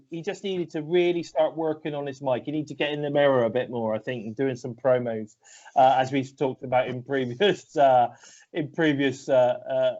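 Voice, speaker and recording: British guy with a cold, rambling about wrestling, bit high voice, dull lo-fi sound. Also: a little mellow. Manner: rambling, hesitant, full of restarts. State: bunged up with a cold. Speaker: podcast co-host. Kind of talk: casual wrestling chat.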